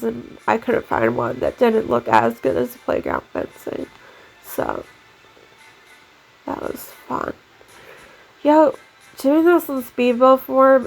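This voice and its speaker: A woman's voice: a little high, gravelly, darkish tone, rough recording. A young woman with a stuffy, nasal cold, chatting casually in a rambling way while narrating her game build. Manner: chatty and rambling, lots of "like" fillers. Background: faint background music.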